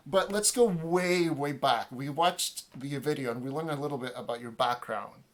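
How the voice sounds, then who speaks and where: A man of middle pitch hosts an interview over a video call, asking eager questions and often stopping on fillers and restarts. His talk is casual and friendly. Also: normal speed. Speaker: interviewer for a youth orchestra.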